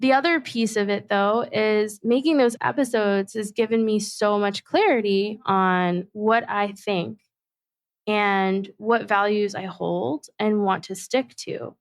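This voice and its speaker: A woman hosting her own podcast, talking alone in a thoughtful, measured and personal way. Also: medium pitch, fairly even intonation, dry recording. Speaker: podcast host and facilitator. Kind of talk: reflective solo podcast monologue.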